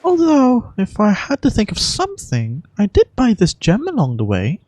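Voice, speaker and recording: A woman voicing an earnest young female guardian in a video game, speaking in a measured, formal, polite way. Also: medium pitch, huge pitch swings, darkish tone. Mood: thoughtful. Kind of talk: amateur video game voice acting.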